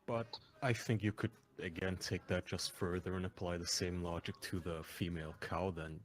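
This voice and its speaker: A man's voice: sits low, steady tone, moderate pace. A man from Turkey questioning and challenging the other speaker in a voice-chat debate. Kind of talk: debating on a voice call. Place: online voice chat.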